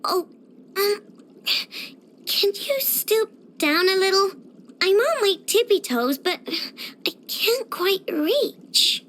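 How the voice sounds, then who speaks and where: Very high, excitable little girl inventor. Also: takes it slowly, slight background noise, full character voice. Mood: whiny, pouting. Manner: childish, playful, bossy, dramatic. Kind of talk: video game character voice lines.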